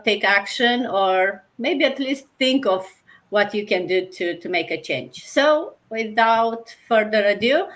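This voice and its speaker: Woman, from Romania, host, middle pitch, informal, hesitant, conversational panel introduction. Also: fairly bright tone.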